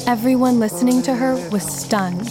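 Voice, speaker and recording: A woman's voice: fairly high pitch, normal speed, background noise. A woman reading a scripted biographical story for children as its narrator.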